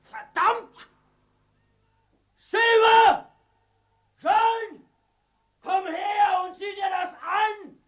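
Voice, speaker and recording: An actor playing a superstitious, excitable and vengeful pirate mutineer in a radio play, agitated and theatrical. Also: male voice, very high-pitched, drawn-out words, pauses often, raised voice, some chatter behind, full character voice. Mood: angry, accusing. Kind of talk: German radio play acting.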